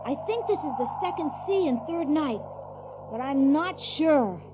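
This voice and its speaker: High, animated adventurous child. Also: a little slow, noisy background. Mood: uncertain, weary. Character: young children on a fantasy adventure, eager and bold. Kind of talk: audio drama acting.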